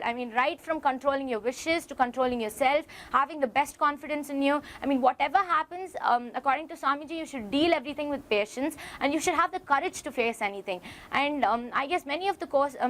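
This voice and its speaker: A South Indian schoolgirl standing up at the end of a course to give an earnest, formally worded testimonial in a high voice. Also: a bit bright. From born and raised in Dubai, family from Tamil Nadu, India. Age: teens. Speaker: student giving a course testimonial. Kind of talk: personal spoken testimonial.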